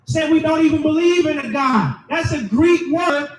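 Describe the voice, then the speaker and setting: A man delivers a loud, emphatic sermon, explaining scripture line by line. His voice sits very high and rings with echo. He is recorded from some distance, with faint ambient noise behind him. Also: moderate pace. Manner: loud, emphatic, preaching to a crowd. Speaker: street preacher. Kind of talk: shouted sermon with scripture reading.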